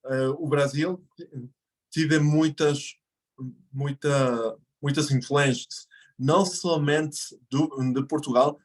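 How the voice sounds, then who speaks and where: A man's voice: a little high, fairly even intonation. Mexican-born man explaining at length. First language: Spanish. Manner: explanatory, thinks aloud with pauses and self-corrections. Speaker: guest. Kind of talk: casual interview conversation.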